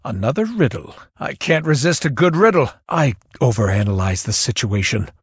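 A man's voice: mid-range voice, sing-song, moderate pace, husky, no room sound, clean studio sound, heavily acted. A young man playing a thoughtful, cerebral young mage in a video game, earnest and self-doubting, with an introspective, wry delivery. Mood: curious, delighted. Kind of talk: video game character voice lines.

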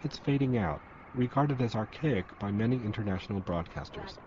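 A man's voice: on the low side, expressive, brisk, a crowd in the background. A man narrating a radio documentary from a script, in a muffled, noisy recording.

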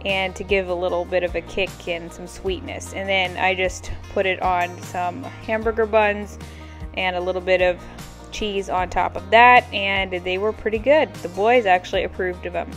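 Casting: American woman, thirties, slightly low voice, informal homemaking vlog voice-over with music behind. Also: steady tone, moderate pace. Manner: chatty, informal. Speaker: homemaking vlogger. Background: background music.

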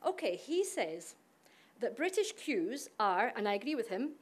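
A woman's voice: on the high side, sing-song, a little slow. A middle-aged woman with a Scottish accent giving a conference talk at a podium, chatty and engaging the audience directly. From Scotland. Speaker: university international officer. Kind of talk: conference presentation. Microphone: podium mic.

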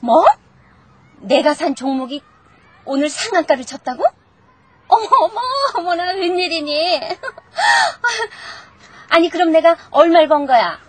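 A woman's voice: very high-pitched, very animated, takes it slowly, heavily acted. An actress playing a materialistic, snobbish middle-aged mother in a TV drama, theatrical and exclamatory, with some background noise. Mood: giddy. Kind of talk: television children's drama acting.

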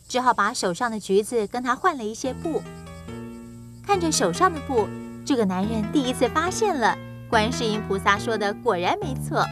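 A woman narrator telling a children's story in a scripted style, with clear, measured emphasis. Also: a little high.

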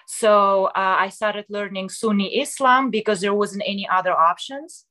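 A young woman from Kazakhstan telling her story on a home video call, halting with many fillers and restarts. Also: mid-range voice, pushed and bright. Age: young adult. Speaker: guest sharing her story. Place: small room at home, indoors. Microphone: laptop webcam mic on video call.

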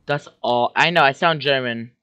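A young man streaming a war strategy game from a desk at home, yelling at the game and putting on silly voices. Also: medium pitch, normal speed, smooth. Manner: yelling and screeching at the game, silly mock accents. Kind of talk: excitable gaming commentary.

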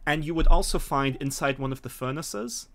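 A man acting as game master, explaining a scenario in a hesitant, halting way. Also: a little high, vocal fry, fairly bright tone. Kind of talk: narrating a tabletop game scenario. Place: small home room, video call.

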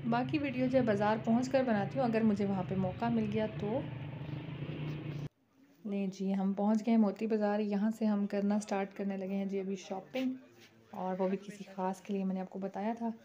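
Chatty woman vlogging on her phone, mellow voice, kinda dull muffled sound. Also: medium pitch, steady tone, moderate pace. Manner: chatty, addresses viewers with frequent "ji". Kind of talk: casual vlog narration. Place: city streets and bazaar at night, then indoors at home. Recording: dull lo-fi phone audio with faint street noise.

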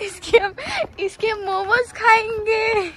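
A woman's voice: very high voice, little pitch movement, takes it slowly, soft background music. A bubbly young woman talking straight to her viewers on a travel vlog, filming a phone selfie outdoors on a mountain road. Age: young adult. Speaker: vlogger. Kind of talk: casual travel vlog chat. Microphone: phone selfie at arm's length. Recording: loud outdoor traffic and vehicle noise.

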